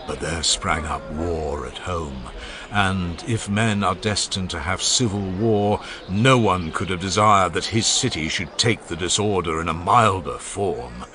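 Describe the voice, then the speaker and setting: A man's voice: deep voice, bright, forceful tone. A man reading an ancient funeral oration aloud as an audiobook narrator, with solemn, declamatory delivery and strong swings in emphasis.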